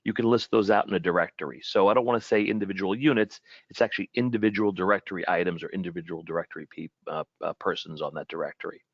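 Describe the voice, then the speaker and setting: A man giving an informal webinar product presentation in a halting way, often repeating and rephrasing his words. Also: deep voice, dry recording, dull audio.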